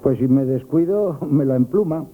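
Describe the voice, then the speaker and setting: An actor in a Spanish radio comedy playing a nervous, lovestruck young grocery lad, gushing and stammering with an accent from Spain. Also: male voice, medium pitch, lively intonation, normal speed, phone-quality sound, a little hiss or hum, lightly acted. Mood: eager patter. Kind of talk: 1970s radio comic theatre acting. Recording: band-limited vintage radio broadcast.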